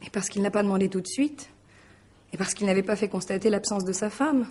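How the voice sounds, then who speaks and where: An actress playing a polite, guarded mistress in a French radio mystery drama, hesitant and questioning. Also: female voice, mid-range voice, fairly quick, darkish tone, lightly acted. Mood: confiding.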